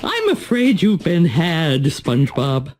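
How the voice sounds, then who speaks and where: Prim exasperated middle-aged cartoon fish teacher, raspy, drawn out, swoopy pitch. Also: female voice, huge pitch swings, takes it slowly, darkish tone, soft background music, exaggerated voice. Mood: pitying. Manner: melodramatic, prim, strained patience. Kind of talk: cartoon game voice acting.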